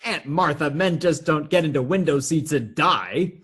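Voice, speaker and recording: Man acting frantic, husky-voiced, stammering nephew. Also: on the high side, expressive, normal speed, a bit bright. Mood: shocked disbelief. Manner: flustered, stammering, exclamatory. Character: frantic, appalled nephew of two elderly aunts. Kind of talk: stage comedy radio theatre acting.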